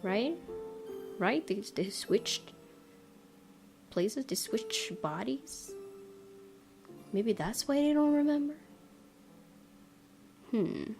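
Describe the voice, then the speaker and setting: A woman's voice: on the high side, lively intonation, extremely slow, gravelly, long pauses, darkish tone. A woman streamer reading a visual novel aloud and adding casual, musing commentary. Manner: musing, hesitant asides. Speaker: let's play streamer. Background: faint game music.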